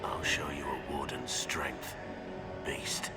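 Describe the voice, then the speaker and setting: An actor playing a gruff, dry warrior companion in a video game. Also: male voice, extremely low pitch, flat delivery, takes it slowly, loud music behind the voice. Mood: dry defiance. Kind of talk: video game dialogue acting.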